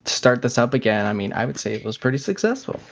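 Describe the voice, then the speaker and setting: A man co-hosting a podcast in casual, slightly halting conversation, recorded remotely from home. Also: mid-range voice, sing-song, vocal fry. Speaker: podcast co-host.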